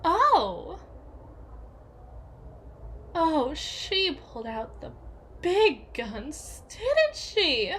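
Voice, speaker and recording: A woman playing a domineering, conniving young woman, possessive and jealous, in a theatrical, sardonic ASMR roleplay monologue with haughty, drawn-out emphasis. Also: extremely high pitch, huge pitch swings, a bit bright, a little hiss or hum, exaggerated voice. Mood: scornful, mocking.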